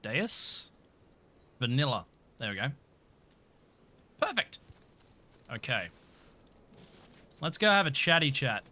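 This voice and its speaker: A man live-streaming a video game, chatting casually in a cheeky, expressive way and swearing freely. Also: medium pitch, takes it slowly, long pauses. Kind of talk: casual gameplay stream chat with playful swearing.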